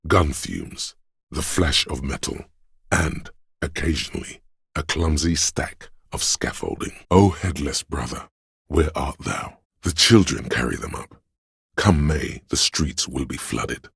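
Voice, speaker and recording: An extremely low, husky voice plays a grave, all-knowing narrator in a police video game. He is solemn and deliberate with his literary phrasing, and his tone is thick with gravel. The recording is dry and close. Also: animated, a bit bright, dry recording, heavily acted. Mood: brooding. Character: grave, omniscient male narrator voice of police camaraderie. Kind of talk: dramatic scripted game narration.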